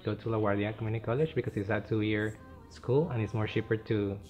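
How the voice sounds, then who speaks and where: A man's voice: fairly low pitch, fairly even intonation, fairly quick, a little mellow, faint music behind. A man from Bogota speaking English with a Colombian Spanish accent in an interview, hesitant with frequent "eh". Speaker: film student.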